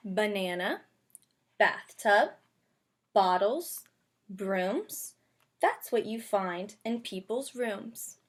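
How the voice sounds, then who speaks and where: A woman's voice: medium pitch, animated, very slow, long pauses. A woman kindergarten teacher giving a read-aloud lesson, speaking simply and instructively and addressing young children directly. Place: bedroom at home.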